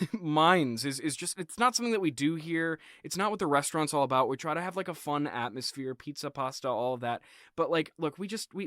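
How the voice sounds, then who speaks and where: Awkward manager played by a man for comedy sketch: slightly high voice, stammers and backtracks, dry close recording. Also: fairly bright tone, no room sound. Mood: exasperated, awkward. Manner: stammering, hedging, repeats himself. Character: flustered, awkward male restaurant boss, easily swayed. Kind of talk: improvised comedy sketch acting.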